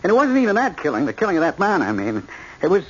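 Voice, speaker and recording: An actor playing a frank, brooding young male killer in prison, halting as he searches for words, in a vintage radio drama. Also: high voice, huge pitch swings, a bit bright, breathy edge, full character voice. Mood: brooding, confessional. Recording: dull lo-fi old radio recording.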